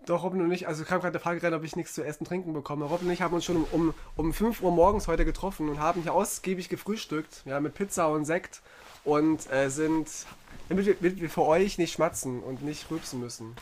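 A chatty young man co-hosting a live podcast who leads the talk with stories and news. His voice sits a bit higher than most men's, and his manner stays loose and conversational. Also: quick pace, a little hiss or hum. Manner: chatty storyteller, brings the topics and news. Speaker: podcast co-host. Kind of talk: casual live podcast banter.

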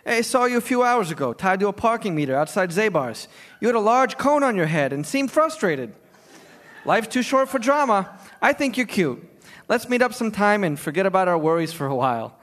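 A man's voice: high voice, big pitch swings, brisk, fairly bright tone. A man voicing an eager, easygoing male dog looking for romance, in a comic stage reading. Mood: flirty, chirpy.

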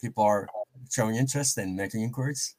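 A middle-aged man with a Korean accent speaks in a slightly low voice. He is an interview guest who explains his work in a measured, polite, conversational manner. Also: darkish tone. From South Korea. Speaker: interview guest, professor and preaching pastor.

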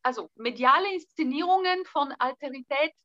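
Young woman, bright and tense tone, hesitant casual talk. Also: high voice, animated, normal speed, no echo, dull audio. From Bulgaria. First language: Bulgarian. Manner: hesitant, thinking aloud with fillers. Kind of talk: casual conversation.

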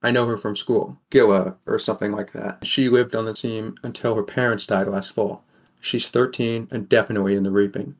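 A man's voice: on the low side, steady tone, a little fast. A man playing a wary, sardonic sixteen-year-old boy who narrates an audio drama in the first person. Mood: bleak, sardonic.

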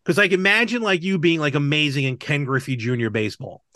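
Guy podcast host, bit high voice, super dry sound, chatting casually n explaining stuff. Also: quick pace, sharp tone, no echo. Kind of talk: casual chat about movies and games.

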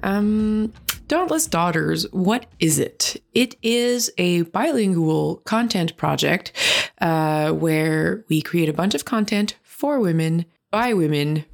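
A woman's voice: lowish voice, lively intonation, takes it slowly, gravelly. A woman with a Quebec accent hosting a podcast, giving a long earnest intro with fillers. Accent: Quebec French. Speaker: podcast host.

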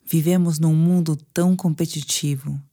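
A woman with a Brazilian Portuguese accent guiding a sleep meditation in a soothing, hushed manner with pauses. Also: low-pitched, fairly even intonation. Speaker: meditation guide. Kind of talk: guided sleep meditation narration.

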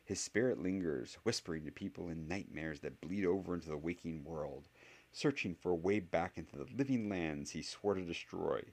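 A man voicing a clever, curious young boy wizard who talks quickly and analytically. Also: sits low, animated, creaky, darkish tone, slightly rough, heavily acted. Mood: mock-dramatic.

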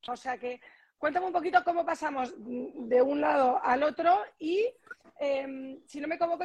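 A woman interviewer who asks questions hesitantly, with many fillers. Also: high voice. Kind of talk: interview conversation.

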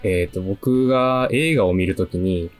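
A man's voice: sits low, unhurried. A man in his early twenties giving a casual but polite presentation on the radio. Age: early 20s. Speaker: radio staff member giving a film pitch. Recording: music playing behind the voice.

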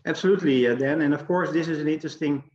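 A man's voice: a little high, racing, a little mellow. A man hosting an interview over a video call, hesitant, with many fillers and restarts. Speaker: interview host. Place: home in the Netherlands. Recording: dull lo-fi call audio.

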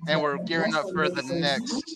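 A man's voice: fairly high pitch, animated, moderate pace. A man co-hosting a live wrestling watch-along, teasing his friends and playing emcee. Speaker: podcast co-host. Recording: bar crowd chatter behind.

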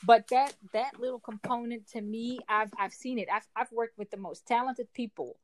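A woman's voice: on the high side, fairly even intonation, moderate pace. A Dutch-born woman whose first language is Dutch and whose English sounds American, a podcast guest in casual conversation who talks emphatically and restarts phrases as she builds her point. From Netherlands.

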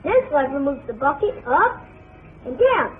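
A woman voicing a vintage children's toy commercial in an excitable, exclamatory way. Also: high-pitched, measured pace, soft background music. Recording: tinny band-limited old broadcast.

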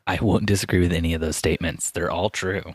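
Man podcast co-host, very low, gravelly, teasing banter, dry sound. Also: steady tone, fast talker, no room sound. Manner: teasing, wisecracking. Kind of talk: casual banter on a wedding podcast.

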